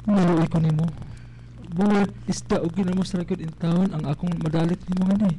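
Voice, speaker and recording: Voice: medium pitch, steady tone, brisk, warm tone, a little hiss or hum, lightly acted, rough recording. An actor playing a humble, deferential young Cebuano sweetheart in a radio drama. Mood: caring. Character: humble, startled young sweetheart, eager to please the parents.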